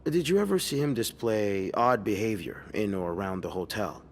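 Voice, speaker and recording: In a reverberant, slightly noisy room, a deep-voiced police detective from a 1950s mystery game. He is gruff and condescending, and his questioning swings up and down in pitch as he presses. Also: expressive, moderate pace. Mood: probing.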